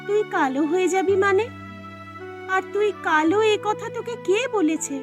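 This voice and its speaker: A woman's voice: very high-pitched, moderate pace, music in the background. A woman voicing a kind, dutiful young village sister in a cartoon fairy tale. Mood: concerned. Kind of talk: animated storybook dialogue.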